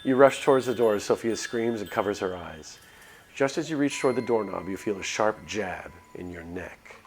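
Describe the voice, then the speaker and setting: Low-voiced man streaming a game. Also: lively intonation, normal speed. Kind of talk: live playthrough, reading visual novel aloud with casual chat.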